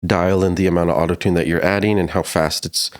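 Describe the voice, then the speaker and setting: A man with a deep, low-set voice narrates a music production tutorial. He explains each step informally, in a casual teaching style. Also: steady tone, fast talker.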